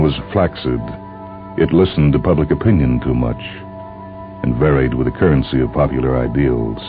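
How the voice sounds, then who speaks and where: Very deep-voiced man narrating sci-fi. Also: phone-quality sound. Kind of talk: dramatic scripted narration of a science fiction story. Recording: band-limited radio-like sound with music behind. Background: music.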